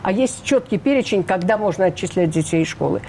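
Older female guest, mellow timbre, indignant and emphatic. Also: medium pitch, expressive, fast talker, a little hiss or hum. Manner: emphatic, indignant, rhetorical questions. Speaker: interview guest, refugee rights advocate. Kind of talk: radio interview.

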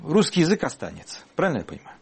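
A man hosting an interview, asking measured, probing questions with some hesitation. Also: fairly high pitch, huge pitch swings, slightly rough. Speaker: interview host.

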